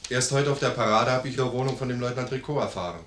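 A dutiful army courier played by a man speaks formally and courteously in a medium-pitched voice. The roomy, echoing sound is that of a 1950s radio play. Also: fast, fairly bright tone, a little hiss or hum, close to an everyday voice. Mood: formal, polite. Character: correct, courteous military courier.

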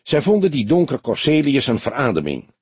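A man's voice: medium pitch, wildly swooping pitch, fairly quick, a little mellow, breathy edge, no echo, voice pushed up. A man reading the part of a young, keen police detective and sidekick in an audiobook, earnest and informative.

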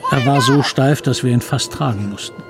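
An actor plays a man recalling his boyhood in a creaky, mid-pitched voice. The storytelling is measured and reflective, in radio play style. Music runs clearly beneath it. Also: close to an everyday voice. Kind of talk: scripted radio play narration.

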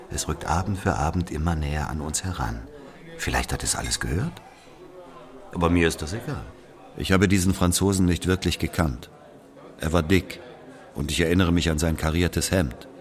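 A man playing an aging Algerian who confesses an old killing in a brooding, deliberate literary monologue. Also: low-pitched, lightly acted. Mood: insistent, defiant, then somber. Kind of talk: literary monologue, dramatic audio narration.

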